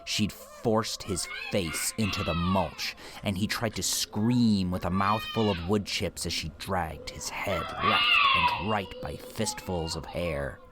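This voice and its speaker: A man narrating a horror story in a dramatic, hushed and deliberate manner with an ominous tone. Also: low voice, heavy background noise.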